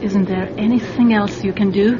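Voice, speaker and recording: A woman's voice: mid-range voice, fairly even intonation, normal speed, close to an everyday voice. A woman playing an anxious, vulnerable mother forced into spying in a radio drama, hesitant and fretful. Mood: distressed. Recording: dull radio recording with background noise.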